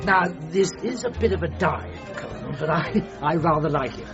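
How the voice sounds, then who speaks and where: A man playing a breezy, condescending upper-class English gentleman in a radio drama, with a jovial, exclamatory manner. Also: fairly high pitch, animated, normal speed, creaky. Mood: playful, breezy. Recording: muffled radio drama with music behind.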